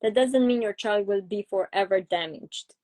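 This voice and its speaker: A middle-aged woman with a Romanian accent speaks as a guest psychologist in an interview. She explains patiently and builds her points through everyday examples, pausing on fillers as she thinks. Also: mid-range voice, darkish tone. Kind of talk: interview conversation.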